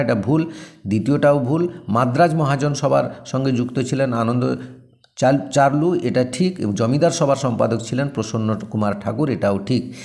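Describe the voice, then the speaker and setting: A man's voice: mid-range voice, darkish tone. A man teaching exam revision, reading out questions and answers and directly instructing his students as he goes. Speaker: exam-prep teacher and presenter.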